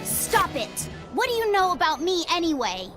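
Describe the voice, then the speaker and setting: A woman's voice: very high-pitched, sing-song, moderate pace. A woman voicing an earnest, defiant and sensitive little boy in a video game. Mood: defiant, upset. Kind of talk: English-dub video game acting. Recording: music behind.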